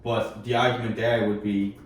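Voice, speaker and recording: A man's voice: on the low side, flat delivery, normal speed. A man in a casual group discussion, explaining his points with examples. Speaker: panel member. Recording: echoey room, distant mic, faint noise.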